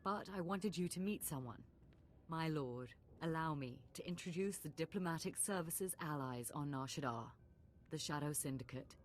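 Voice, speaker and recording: An actress playing a poised, courteous imperial diplomat in a video game, speaking in a measured, formal way. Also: female voice, deep voice, natural voice. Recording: game audio with faint ambience.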